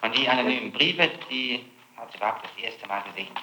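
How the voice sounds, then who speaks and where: In an echoey room on an old tape, a man with a bright, forceful voice conducts a formal interrogation. He talks fast and presses persistently for exact answers, like a prosecutor. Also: medium pitch, expressive, a little hiss or hum. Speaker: prosecutor questioning a suspect.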